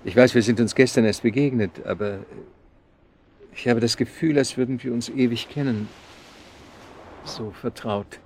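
An actor playing a lonely, wistful romantic in a German radio drama, speaking in a halting, florid way. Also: male voice, medium pitch, steady tone, darkish tone, slight background noise, close to an everyday voice. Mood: yearning, earnest. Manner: wistful, halting, given to Latin and French phrases. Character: lonely, wistful man, romantic and talkative suitor.

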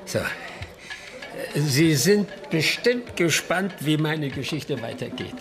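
Older village storyteller, gravelly, genial, unhurried, radio play, music underneath. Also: male voice, fairly high pitch, expressive. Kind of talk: storytelling in a German radio drama. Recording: clear music behind the voice.

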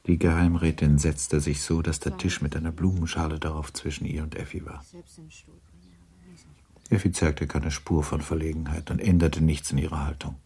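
A man narrating a classic novel for a radio drama as a sober, measured and matter-of-fact storyteller. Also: a bass voice, little pitch movement, dark tone, slightly rough, exaggerated voice.

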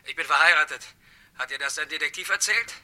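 A man's voice: fairly high pitch, fast talker, sharp tone, slight background noise. A man playing a callous, evasive lover in a German radio crime drama, dismissive and impatient. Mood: irritated, scornful.

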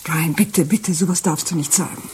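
A woman's voice: sits low, fairly even intonation, moderate pace, a little mellow, husky. An actress playing a guilty, pleading unfaithful woman in a German radio drama, emotional and apologetic.

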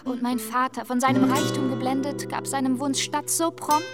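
A woman's voice: high voice, steady tone, normal speed, over loud music, lightly acted. An actress in a radio play voicing a young noblewoman who speaks of her misfortune with formal, earnest sorrow. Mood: desperate.

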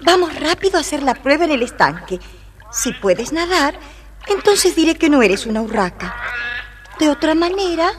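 A woman playing a fussy, loving mother duck in a children's storybook recording, expressive and motherly. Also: high voice, normal speed. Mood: brisk, relieved.

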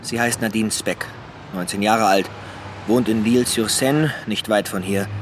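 An actor playing a businesslike homicide detective with a dry, matter-of-fact delivery in a crime radio drama. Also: male voice, lowish voice, unhurried, lightly acted. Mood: hesitant, businesslike. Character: businesslike male homicide detective reporting findings. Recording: faint ambient noise.

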